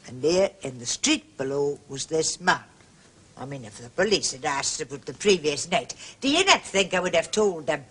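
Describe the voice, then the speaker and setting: An actress playing a prim, gossipy and indignant landlady in a period mystery drama, husky and emphatic, forever justifying herself. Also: sits low, lively intonation, normal speed. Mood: indignant, defensive. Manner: emphatic, self-justifying, repetitive.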